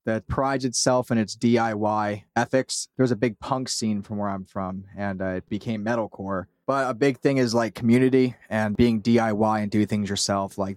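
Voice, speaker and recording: A man giving a casual interview as a podcast creator, informal and rambling, with animated asides. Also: on the low side, no echo.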